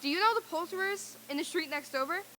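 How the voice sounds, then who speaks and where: A woman's voice: extremely high pitch, quick pace, bright, forceful tone, a little hiss or hum. A woman playing a reformed, overjoyed old miser in a theatrical old-time radio drama reading, with exclamatory delivery. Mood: giddy delight.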